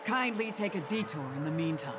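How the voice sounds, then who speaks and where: A man's voice: sits high, lively intonation, a little fast. An actor voicing a nosy but courteous young male security worker in a video game. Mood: polite. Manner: curious, courteous. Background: game music.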